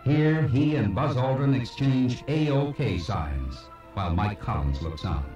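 A man's voice: mid-range voice, expressive, unhurried, warm tone, highs cut off. Echoey, distant male documentary narrator. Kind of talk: scripted documentary narration for a slide show. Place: played from a cassette, heard in a room. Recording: echoey, distant playback of cassette tape. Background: music.

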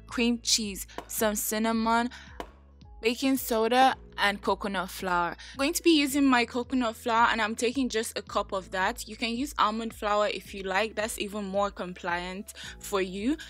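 A woman's voice: mid-range voice, a bit bright. A young woman talking through a cooking vlog in her home kitchen, chatty and sassy with playful asides. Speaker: lifestyle vlogger. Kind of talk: casual cooking vlog with voice-over.

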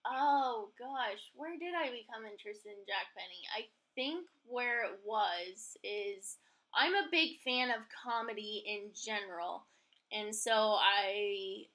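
Slow, bright young woman chatting casually. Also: fairly high pitch. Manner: informal, halting, uses "like" and "kinda". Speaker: podcast host. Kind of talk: casual chat.

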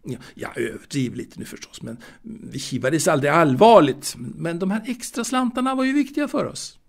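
An actor playing a fastidious, proud waiter and former seaman in an audio drama monologue, confiding in a halting, repetitive way. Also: a little high, sing-song, gravelly, exaggerated voice. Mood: composed, proud. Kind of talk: intimate dramatic monologue, told to a listener.

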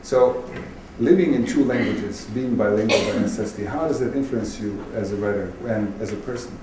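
Man, native Bosnian speaker, low voice, panel moderator, reflective halting phrasing, noisy recording. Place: public event room, recorded at a distance. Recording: distant echoey hall, clearly noisy.

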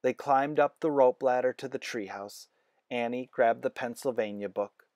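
A man's voice: medium pitch, moderate pace, darkish tone, no room sound, clean studio sound. A man narrating a children's book as a read-aloud. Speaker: storybook reader.

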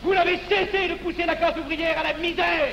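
An actor playing an indignant nationalist deputy, declaiming emphatically in a 1940s film. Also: male voice, very high voice, steady tone, a little fast, creaky. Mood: indignant, accusing. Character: fiery indignant young nationalist parliamentary deputy. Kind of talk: 1940s film acting, political oratory. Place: large parliament chamber set, crowd behind. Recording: dull noisy 1940s film, echoing chamber, crowd.

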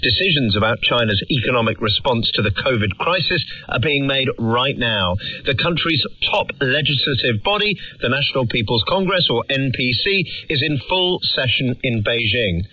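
A man's voice: medium pitch, expressive, normal speed, hardly any pauses. A man presenting a current-affairs radio programme, asking questions and reading scripted links. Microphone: broadcast heard over shortwave radio. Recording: muffled shortwave radio capture.

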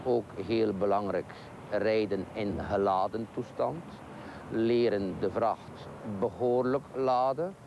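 A Flemish man in his fifties with a lowish voice lays out points in measured lists. He was recorded outdoors on a lot, and traffic sound can be heard clearly behind him. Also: highs cut off. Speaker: driver training coordinator. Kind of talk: interview answers.

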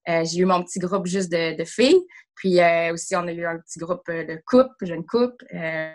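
A woman's voice: fairly low pitch, normal speed, darkish tone. A woman in her thirties from Sherbrooke with a Quebec French accent, chatting in a friendly way on a video call from home. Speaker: drama teacher. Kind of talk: casual video-call interview. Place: home room with bookshelf. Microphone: laptop camera on a video call.

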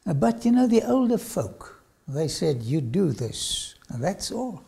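An older man with an Afrikaans accent, a lecturer telling his own story to camera in a studio, swinging from loud phrases to whispers. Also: a little high, sing-song, a little slow, vocal fry, slightly rough. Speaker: archaeology lecturer. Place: studio with dark backdrop.

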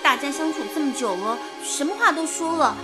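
A woman's voice: high-pitched, animated, a little fast, over loud music. An actress playing an earnest young woman working at a wartime radio station, in radio drama dialogue. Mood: earnest, concerned.

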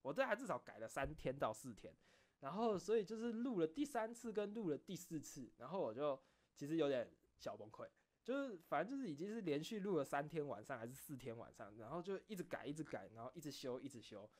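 Animated, rambling man in casual chat. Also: fairly high pitch, fast. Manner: rambling, repeats phrases for emphasis. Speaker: online streamer and game content creator. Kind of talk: casual late-night chat, personal storytelling.

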